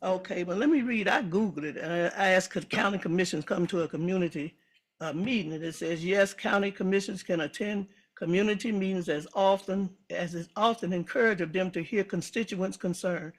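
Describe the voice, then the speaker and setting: A woman with a loud voice, making an earnest, emphatic public comment at a county meeting, with a slight frog in her throat. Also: fairly low pitch, a little fast. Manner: earnest, emphatic, reads aloud from notes.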